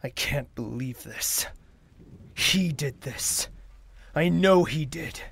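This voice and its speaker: A man acting a formal lovestruck prince, slightly creaky. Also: fairly high pitch, animated, takes it slowly, a bit bright, full character voice. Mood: furious. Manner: formal, earnest. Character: lovestruck young male royal, formal, proud, easily wounded. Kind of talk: fantasy audio drama acting.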